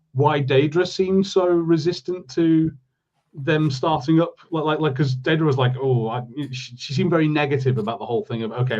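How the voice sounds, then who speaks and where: Male podcast co-host, mid-pitched, conversational and rambling, recorded a little dull. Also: a little mellow. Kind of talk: casual review chat.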